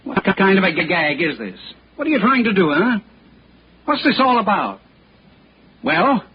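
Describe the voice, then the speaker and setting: A man's voice: high voice, very animated, moderate pace, a little hiss or hum. An American actor playing a sarcastic, suspicious policeman who needles and mocks in an old radio detective drama. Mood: sarcastic, badgering. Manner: needling, mocking questions. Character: sarcastic, suspicious city policeman, bullying and mocking. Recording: muffled old radio broadcast.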